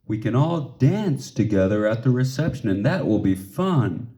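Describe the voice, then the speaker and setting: A man reading the part of a kindly, tactful schoolteacher in a storybook, gently explaining. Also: mid-range voice, very animated, moderate pace, natural voice. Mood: reassuring. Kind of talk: storybook character dialogue.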